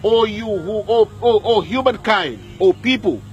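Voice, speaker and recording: A man's voice: sits high, a little slow, muffled recording. A man preaching a sermon at a graveyard, repeating lines and putting questions to his listeners, with Arabic verses in between. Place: outdoor graveyard.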